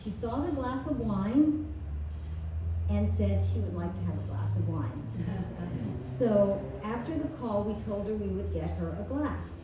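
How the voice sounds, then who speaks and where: A woman reading a prepared eulogy at a memorial, measured and tender. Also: medium pitch, animated. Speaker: family member giving a eulogy. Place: memorial gathering in a large room. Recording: echoey room, distant mic, faint noise.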